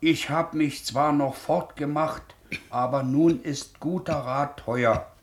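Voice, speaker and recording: Tired kindly shoemaker, slow unhurried talk, soft with a kid, old 1950s radio play. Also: male voice, a little high. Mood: reading aloud slowly. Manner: gentle, unhurried, colloquial. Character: kindly weary grown ex-convict shoemaker, gentle with a child. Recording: 1950s radio drama recording.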